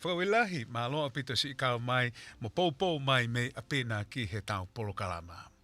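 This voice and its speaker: A man hosting a radio interview, talking in a lively, halting way with many fillers and restarts. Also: mid-range voice. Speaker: radio host.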